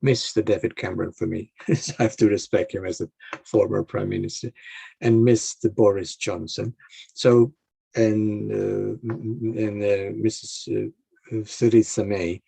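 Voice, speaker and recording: Gravelly, low-voiced man explaining casually. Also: flat delivery, measured pace, warm tone, no echo. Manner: loose and conversational, often restarting phrases; checks in with listeners with "right?". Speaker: guest speaker at an English club. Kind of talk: informal explanatory talk.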